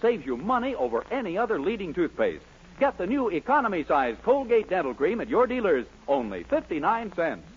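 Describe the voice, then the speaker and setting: A man's voice: high-pitched, very animated, brisk, background noise. A man with an American accent announcing on 1940s radio in a polished, emphatic sales delivery. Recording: dull vintage 1940s radio, music behind. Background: music.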